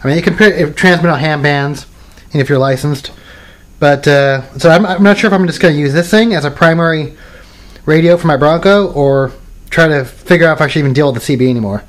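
A man's voice: fairly high pitch, fairly quick. Rambling man demoing radios at home. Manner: rambling, many restarts and fillers. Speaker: radio hobbyist video maker. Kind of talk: casual show-and-tell demo. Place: home workbench room.

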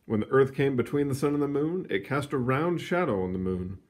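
A man reading a children's science book aloud in an expressive storytelling manner, stretching words for emphasis, with casual asides. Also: medium pitch. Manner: animated, storytelling delivery with drawn-out emphasis. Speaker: read-aloud reader.

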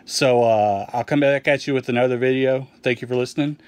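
A man's voice: medium pitch, a little fast, clear, resonant tone, no room sound. Man casually walking through game rules. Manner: relaxed, frequent ums and restarts. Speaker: game publisher explaining rules. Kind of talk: casual off-the-cuff rules walkthrough. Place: indoors at a table with a rulebook.

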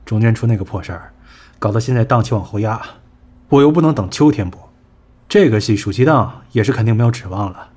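Man playing a blunt, husky showbiz boss. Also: fairly low pitch, lively intonation, brisk, a little mellow. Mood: grumbling. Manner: blunt, scolding, colloquial. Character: blunt, exasperated male entertainment company boss, sharp-tongued schemer. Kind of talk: Chinese audio drama acting.

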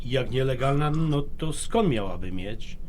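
An actor playing a jovial, dismissive middle-aged husband in an audio drama, with a teasing, folksy manner. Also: male voice, medium pitch, animated, a little slow, noisy background, lightly acted. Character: jovial, dismissive Polish immigrant husband and factory boss. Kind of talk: naturalistic audio drama dialogue.

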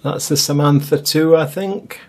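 A man talks over his own coastal footage in a relaxed, chatty voice-over, musing aloud and dropping wry little asides. His voice sits a touch high for a man. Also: unhurried. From Scotland. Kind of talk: casual voice-over commentary.

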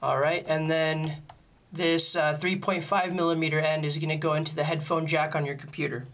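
A man presents a casual step-by-step tutorial. His voice sits a little high for a man and has a bright, forward edge. He explains each point plainly, as an informal instructor would. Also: little pitch movement, normal speed, slight background noise. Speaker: music gear tutorial presenter.

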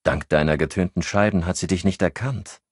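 A skeptical detective keeps questioning bluntly, voiced by a man reading the book in a low, dry voice. He stays doubtful and direct throughout. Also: brisk, a little mellow, no echo.

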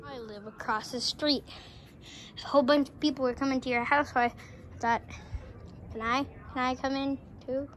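Young female performer doing a slow, quiet, halting shy drifter. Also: sits high, steady tone, a little hiss or hum, close to an everyday voice. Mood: timid, sheepish. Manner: hesitant, halting, mumbling. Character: slow, hesitant, shy homeless neighbor asking to stay.

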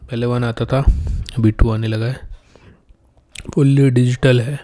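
Flat-toned man, casual unboxing commentary. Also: mid-range voice, measured pace. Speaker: product unboxing presenter.

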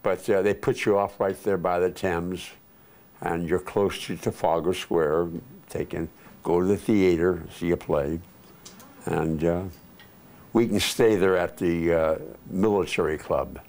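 An older man telling war memories in a casual interview, unhurried and rambling with many fillers, recorded on a lapel mic in a living room. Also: low voice, fairly even intonation, a little mellow. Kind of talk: casual oral history interview. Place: living room, seated on couch.

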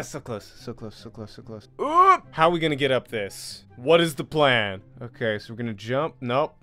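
A young adult man giving excitable let's play commentary into a close desk microphone, exclaiming and repeating phrases as he plays. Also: mid-range voice, clean tone. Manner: animated, exclaiming, repeats phrases while playing. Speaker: gaming video host. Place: small indoor room at home. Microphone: close desk microphone, headset on.

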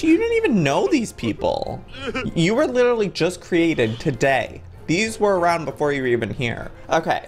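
Young guy doing excitable gameplay commentary, lively pitch, music in the back. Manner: excitable, exaggerated reactions, breaking into laughter. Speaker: gaming video host.

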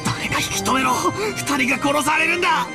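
A man voicing a hot-headed, desperate young man in a game, shouting in rough speech. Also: extremely high pitch, very fast, over loud music. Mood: furious, pleading.

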